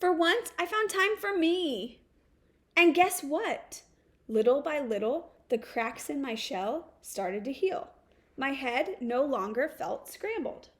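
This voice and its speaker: Woman as an earnest, high-pitched storybook good egg. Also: sing-song, fairly bright tone, heavily acted. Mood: calm, reflective. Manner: deliberate, emphatic, sing-song storytelling. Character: eager, earnest, overworked good egg, storybook narrator-hero. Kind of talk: expressive first-person picture-book read-aloud.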